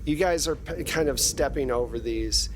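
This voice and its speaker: Man, game master and host, casual improvised delivery, clear background noise. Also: medium pitch, moderate pace.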